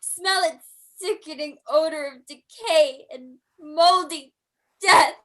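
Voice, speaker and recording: Actress as a high, slow, terrified young daughter in an old-time radio horror play. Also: female voice, a bit bright, full character voice. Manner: trembling and fearful. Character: frightened young daughter Edith.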